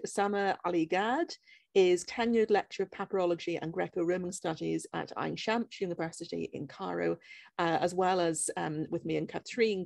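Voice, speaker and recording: A woman in her forties moderates a video-call panel in a lowish, husky voice with lots of vocal fry. The sound is dry and close. She reads out an introduction briskly, item by item. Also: a little mellow, dry recording. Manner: brisk, listing items crisply. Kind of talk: reading a speaker introduction. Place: home study with bookshelves, indoors.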